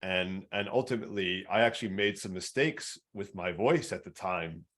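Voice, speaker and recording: An earnest male podcast host with a low baritone voice shares a personal story. He restarts often and repeats words as he gathers his thoughts. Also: moderate pace, fairly bright tone.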